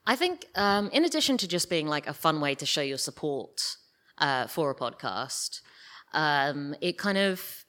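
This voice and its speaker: A woman with a notably low voice answers as a guest in a live stage interview. She is hesitant and wry, and her pitch moves around a lot. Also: big pitch swings, unhurried, a bit bright. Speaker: interview guest, audio drama writer. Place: live stage at a podcast festival.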